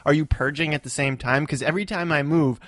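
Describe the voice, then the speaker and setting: A man co-hosting a podcast, a touring performer chatting in a relaxed, easygoing way and voicing strong opinions. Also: a little high, fast talker, dry recording, clean studio sound. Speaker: podcast co-host. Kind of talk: casual chat.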